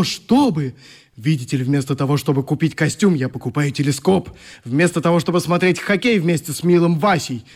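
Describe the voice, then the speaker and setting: An actor in a radio play playing a sick, irritable young man who leads youngsters with idealism. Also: on the high side. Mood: bitterly complaining. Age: young adult. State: coughing, sick with flu.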